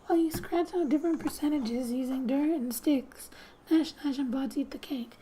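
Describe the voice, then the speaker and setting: A woman hosts a quiet gaming ASMR read-through in a high, mellow voice. She speaks softly and breathily, often slipping toward a whisper. Her delivery is gentle and unhurried, with playful asides, over background music. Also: slight background noise, rough recording. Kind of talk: soft-spoken ASMR game narration, reading text aloud with asides.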